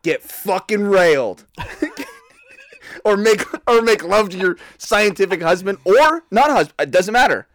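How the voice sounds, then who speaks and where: A man's voice: high voice, animated, unhurried, a bit bright, no room sound. A man co-hosting a podcast, rambling and crude in casual comedic chat, laughing a lot. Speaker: podcast co-host.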